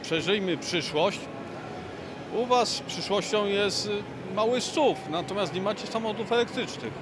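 A man's voice: high voice, expressive, normal speed. A middle-aged man interviewing informally on site as a reporter. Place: large indoor car show hall. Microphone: handheld microphone. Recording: noisy busy exhibition hall background.

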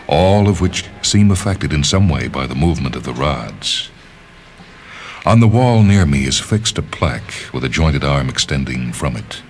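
A man reading a literary short story as a radio narrator, in a measured, deliberate and dramatic delivery. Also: rumbling low. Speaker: reader of short stories on a radio show. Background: background music.

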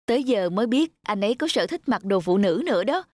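A woman's voice: medium pitch, animated, fairly quick, a little mellow, no echo, natural voice. A woman voicing a frank young family friend in a cartoon. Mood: amused, frank. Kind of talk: cartoon voice acting.

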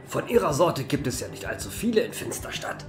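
Casting: man playing polite, drily amused old fellow for radio play, expressive, faint music behind. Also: a little high, brisk, far from the mic. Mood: courteous charm. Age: older. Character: old man Joe, courteous, wry, gallant friend. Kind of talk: audio drama acting.

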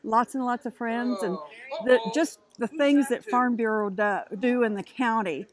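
A woman's voice: fairly high pitch, steady tone, unhurried, a crowd in the background. A woman in her sixties, a county board president, chatting casually and ramblingly outdoors. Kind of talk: casual interview. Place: outdoors by a house.

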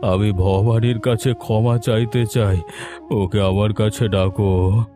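Music plays softly under a low, mellow voice: a man acting as an ailing old village father in a cartoon fable. He draws his words out very slowly, with tenderness and regret. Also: fairly even intonation. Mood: remorseful, tender. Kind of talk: cartoon moral-story acting.

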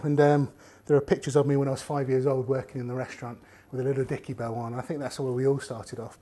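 A man, chatting casually to camera as he cooks in a home kitchen. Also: medium pitch. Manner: chatty, relaxed, talks while working with his hands. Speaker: cooking show host. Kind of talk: casual cooking demonstration to camera.

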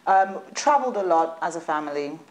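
A woman, a guest in a studio interview, telling stories in an animated, chatty way and laughing at times. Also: on the low side, fairly quick, low-quality recording. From United Kingdom. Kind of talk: casual interview chat.

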